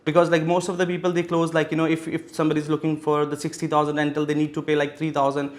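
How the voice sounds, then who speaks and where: A man's voice: a little high, completely flat delivery, fast talker. A man from Pakistan in his thirties, a business guest answering interview questions with many fillers and restarts. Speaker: real estate executive guest.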